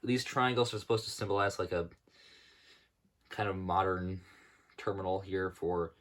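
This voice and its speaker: A young man with a low, deep voice talks through his hobby build in a loose, rambling way. He fills his pauses with "uh" and corrects himself often. His throat is sore and dry. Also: a bit bright. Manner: rambling, with many fillers and self-corrections. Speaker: model airport hobbyist showing his build. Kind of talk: casual narrated walkthrough.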